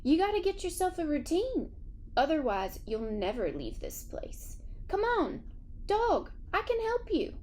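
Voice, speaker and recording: A woman's voice: very high voice, wildly swooping pitch, measured pace, a little mellow, a little hiss or hum, pitched above the performer's own voice, heavily acted. A woman voicing a big, old, motherly female dog with a folksy, coaxing manner in a children's story. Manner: folksy, addresses others with pet names. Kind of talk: children's audiobook character voice.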